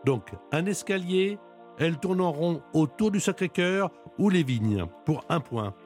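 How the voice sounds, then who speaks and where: A man hosting a chat and quiz show, speaking in a measured way and putting stress on each answer option. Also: a little high, animated, a little mellow, faint music behind. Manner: measured, plays up quiz options with rising emphasis. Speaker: radio quiz show host. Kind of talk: hosted interview and quiz.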